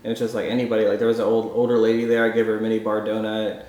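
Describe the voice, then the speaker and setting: A man's voice: on the low side, monotone, very fast, a little mellow, heavy reverb. A man chatting casually in an interview, rambling through stories with lots of "like". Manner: rambling storyteller, heavy use of "like". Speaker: interviewer, food content creator. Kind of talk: casual conversation.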